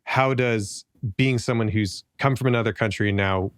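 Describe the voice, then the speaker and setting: Low-voiced male podcast host, dry sound. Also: normal speed, clean tone, dry recording. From United States. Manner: relaxed, conversational, a few restarts. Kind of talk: casual interview.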